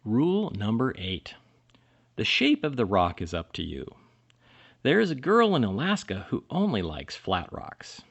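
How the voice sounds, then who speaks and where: Male storybook reader, very animated intonation, expressive emphasis for young listeners, lo-fi muffled sound. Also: lowish voice, creaky. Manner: dramatic pauses and emphasis for children. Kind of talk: expressive children's picture-book read-aloud.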